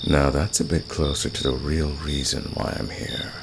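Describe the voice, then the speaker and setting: A man playing a flirtatious, teasing fantasy villain with a secretly caring side, speaking intimately to the listener in an ASMR roleplay with a gravelly, seductive delivery. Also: extremely low pitch, fairly even intonation, moderate pace. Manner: teasing, seductive, soft-spoken. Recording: noisy, with nighttime crickets and insects. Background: cricket and insect night ambience.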